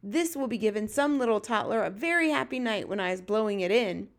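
A woman voicing an excitable, dramatic male giant in a storybook reading, exclaiming in ungrammatical, folksy speech. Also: mid-range voice, brisk, lightly acted. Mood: delighted, bossy. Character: excitable big-eared male giant, dream catcher, dramatic.

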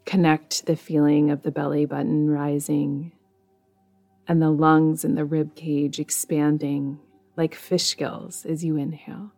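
A woman leading a guided meditation in a soothing, measured way, leaving long pauses between phrases. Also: low voice, level tone, mellow, soft background music. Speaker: meditation guide, podcast host.

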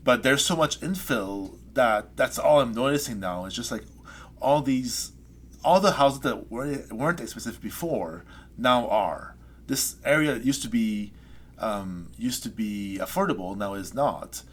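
A man, a poet being interviewed, talking things through slowly with many restarts and trailing pauses. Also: mid-range voice, animated, a bit bright. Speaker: poet guest. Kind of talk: reflective interview chat. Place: Saskatoon resident.

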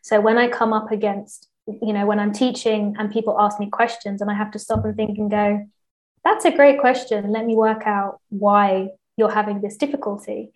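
Woman, native English speaker, language teacher; flat, even delivery, explanatory, restarts often. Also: mid-range voice, moderate pace, rough recording. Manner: explanatory, lots of fillers and restarts. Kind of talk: casual interview conversation.

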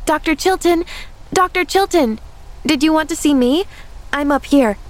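A woman's voice: high-pitched, animated, moderate pace, voice pushed up, poor audio. A woman voicing an eager, sweet, chatty little girl in a storybook, earnest and exclamatory. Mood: eager, pleading. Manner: earnest, exclamatory, hesitant when troubled.

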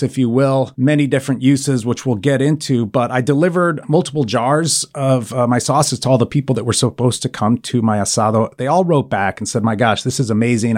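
A man speaking in a radio studio as an interview guest, his voice sitting at an ordinary middle pitch. He talks in a friendly, rambling way, filling pauses with "uh" and "um" as he explains things at length. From Argentina and Italy. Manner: friendly, rambling with frequent fillers. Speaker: guest, sauce company founder.

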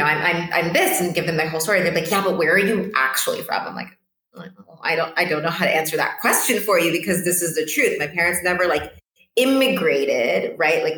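A woman's voice: low-pitched, a bit bright, reverberant, rough recording. A woman in her late twenties, a guest coach, talking reflectively over a video call, often saying "like" and "right?". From born in Portugal, raised in Ethiopia. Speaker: podcast guest, empowerment coach. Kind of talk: casual interview talk.

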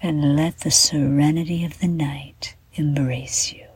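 A woman guiding a children's bedtime meditation in a soft, breathy half-whisper, gently and soothingly, with deliberate pauses. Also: sits low, steady tone, no room sound. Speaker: meditation guide and show host.

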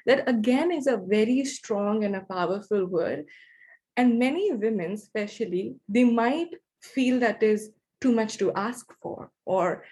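A woman's voice: a little high, measured pace, dark tone. Chatty woman hosting. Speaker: podcast host. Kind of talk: casual conversational interview.

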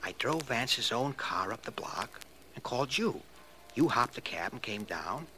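An actor in a 1940s radio drama playing an eager, deferential, slow-witted street tough with slangy, uneducated talk. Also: male voice, mid-range voice, very animated, pushed and bright. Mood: proud, dutiful. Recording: noisy vintage radio recording.

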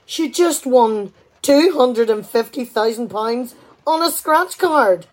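A sarcastic, chatty wife in a radio comedy. Her voice is highish and slightly husky, and it swings widely as she fires off indignant questions in an exasperated way. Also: animated, slow, poor audio. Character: exasperated, sarcastic, talkative wife at a kitchen table. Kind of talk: radio play comedy dialogue.

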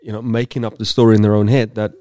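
Man, podcast co-host, supportive, restates points, lowish voice, dry close sound. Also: level tone, quick pace, dark tone, smooth, no room sound. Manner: supportive, frequent agreeing interjections, paraphrases. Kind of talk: conversational podcast.

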